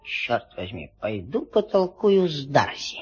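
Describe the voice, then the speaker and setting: A woman's voice: very deep voice, big pitch swings, unhurried, a little mellow, soft background music, exaggerated voice. A woman playing a brave, boastful and impatient young male mongoose in an audio fairy tale. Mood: weary, brooding. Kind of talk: Soviet audio fairy-tale acting on record. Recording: dull old vinyl record sound.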